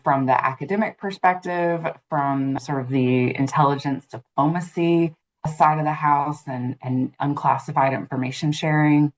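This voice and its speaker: A woman in her thirties answering as an interview guest, earnest, fluent and measured. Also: low voice, fairly even intonation, slight background noise. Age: 30s. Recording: muffled lo-fi audio.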